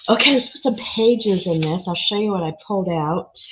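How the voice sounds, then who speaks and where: A woman with a slightly froggy, hoarse voice, chatting casually as she works on a craft project and reads viewer comments. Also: medium pitch, moderate pace, a bit bright, slight background noise. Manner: chatty, thinking aloud while working, reading comments. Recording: dull lo-fi sound.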